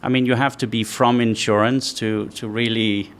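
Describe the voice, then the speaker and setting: A man from Denmark, a company founder answering interview questions in a measured way, thinking aloud with frequent restarts. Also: medium pitch, little pitch movement, noisy background.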